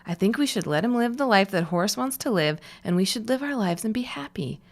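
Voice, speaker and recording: A woman's voice: mid-range voice, animated, a little fast, close to an everyday voice. An actress playing a wry, realistic young woman in a period audio drama, dry and blunt, weary of family hopes. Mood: firm, bitter.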